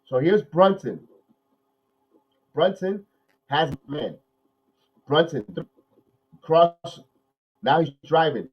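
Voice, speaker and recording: A man hosting a live watch-along podcast, nasal from a cold, calling plays excitedly and then talking analysis with many repeats. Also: on the high side, slow, pauses often, husky, dull audio. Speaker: podcast host.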